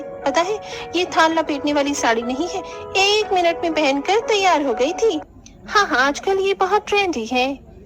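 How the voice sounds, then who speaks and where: A woman plays a snobbish young modern wife in a cartoon family drama. The voice is high, sneering and showy, sprinkled with English words, over clear background music. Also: close to an everyday voice, rough recording. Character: snobbish, vain young modern sister-in-law, mocking and fashion-obsessed.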